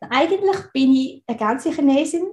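A woman's voice: high-pitched, expressive, moderate pace, darkish tone, a little hiss or hum. A woman in her thirties from Switzerland with a Swiss German accent, chatting as an interview guest and often laughing. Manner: chatty, laughs easily, frequent restarts. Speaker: guest, recruiting consultant. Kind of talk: casual interview talk. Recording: roomy echo.

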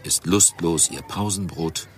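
A man playing a solemn, sympathetic narrator in a children's audio drama, with a husky voice. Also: low-pitched, unhurried.

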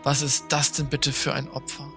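A man playing a stern, sardonic vampire enforcer in an audio drama, speaking in a measured, formal, condescending way. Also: medium pitch, completely flat delivery, sharp tone, faint music behind. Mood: incredulous reproach. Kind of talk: German audio drama acting.

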